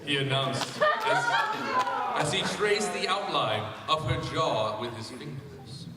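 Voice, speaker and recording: A man's voice: on the high side, takes it slowly, a loud crowd, heavy background noise. Man reading aloud in a very echoey hall. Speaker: reader at a fan convention panel. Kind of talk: reading fan fiction aloud. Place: large convention panel room. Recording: echoey hall, distant mic.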